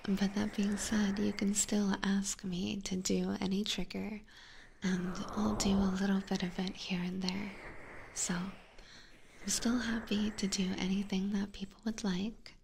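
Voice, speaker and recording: A woman's voice: medium pitch, flat delivery, unhurried, background noise. A woman whispering breathily and informally to viewers on an ASMR livestream, close to a binaural microphone. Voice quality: breathy, mostly unvoiced whisper. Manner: informal, chatty, addressing viewers directly. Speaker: ASMR live streamer.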